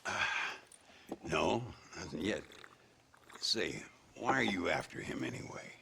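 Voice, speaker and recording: Old American Western gunslinger, very deep, heavily gravelly, wry and weary, swinging delivery, video game cutscene. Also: male voice, expressive, unhurried, bright, forceful tone, slight background noise, full character voice. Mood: wry, sociable. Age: older.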